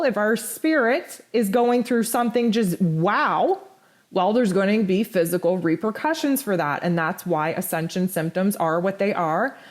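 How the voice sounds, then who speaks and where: A woman with a hoarse, strained voice giving a blunt, animated spiritual forecast as host of her own channel. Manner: blunt, animated, pitch jumps high for emphasis. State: hoarse, nearly lost voice. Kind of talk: casual spoken forecast.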